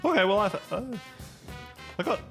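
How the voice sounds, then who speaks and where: A man's voice: high-pitched, big pitch swings, a little fast, vocal fry. Man running a jokey tabletop game over music. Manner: jokey, giggly, informal. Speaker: game master. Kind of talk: casual improvised tabletop game narration. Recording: music playing behind the voice.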